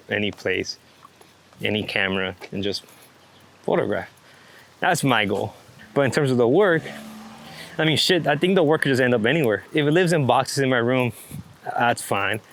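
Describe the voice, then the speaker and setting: A man chatting casually in a laid-back, rambling way, swearing freely as he talks about his creative work as a photographer. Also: medium pitch. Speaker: street photographer being interviewed. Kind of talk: casual chat.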